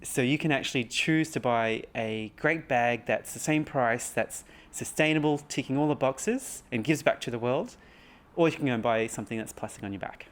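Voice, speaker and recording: A young man from Cambodia, telling his story as a business founder in a soft, earnest documentary narration with frequent pauses. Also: medium pitch. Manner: soft-spoken, earnest, pausing with frequent ums. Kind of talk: documentary testimony and reflective narration.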